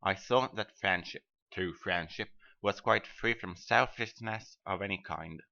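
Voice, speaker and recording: A man's voice: sits low, a little slow, vocal fry, pushed and bright, full character voice. A man playing a selfish, sanctimonious miller in a storybook reading, lecturing in a self-righteous manner. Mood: smugly reproachful. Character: selfish, sanctimonious male miller, smugly preaching friendship. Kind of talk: scripted storybook dialogue. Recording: dull, band-limited audio.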